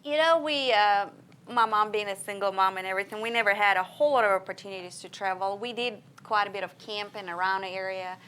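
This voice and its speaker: A woman's voice: mid-range voice, quick pace, pushed and bright, clean tone. A woman from Russia with a slight Russian-Texan accent, a guest answering interview questions in an earnest, thoughtful way with many fillers.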